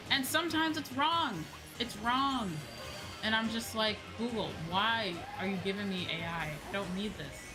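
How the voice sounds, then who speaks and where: A woman's voice: medium pitch, low-quality recording. A woman streaming casually as she plays a game, talking in an opinionated, emphatic way and swearing freely. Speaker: streamer, VTuber host. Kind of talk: casual livestream chat while gaming. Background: video game music.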